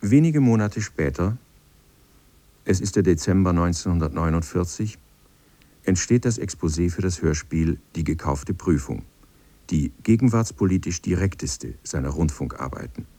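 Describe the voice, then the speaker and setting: Deep, mellow male documentary narrator. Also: expressive, no room sound. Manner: measured, literary, precise. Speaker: narrator of a radio feature. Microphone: close studio microphone.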